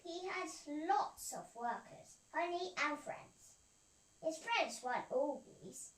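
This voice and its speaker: A very young girl telling a puppet-show story, halting and restarting her phrases. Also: high voice, expressive. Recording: roomy, distant mic, faint noise.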